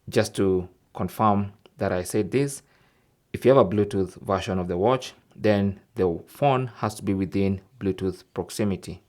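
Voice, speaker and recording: Man, low-pitched voice, tech tutorial host, step-by-step explanatory delivery, instructional narration. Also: darkish tone. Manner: explanatory, step by step, often checking with "okay?".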